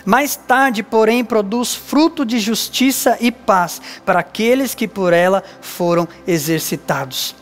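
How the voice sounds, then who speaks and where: A man in his thirties with a Brazilian Portuguese accent, a pastor giving an earnest, measured sermon with emphatic pauses on a church stage. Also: high-pitched, faint music behind. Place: dark church stage with lights, indoors.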